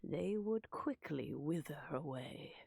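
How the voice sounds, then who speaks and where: A woman voicing a gentle, wistful old granny in a fantasy video game, speaking in a cryptic, reminiscing way. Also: low-pitched, lively intonation, slow pace, vocal fry, breathy edge, dry recording, clean studio sound, heavily acted. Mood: solemn, wistful.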